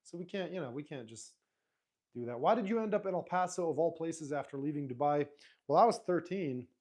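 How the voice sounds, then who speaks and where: A man whose first language is Arabic, hosting a live stream in a relaxed, rambling way, reading viewer comments aloud and answering them. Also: fairly high pitch, fairly quick. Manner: relaxed, rambling, frequent restarts and fillers.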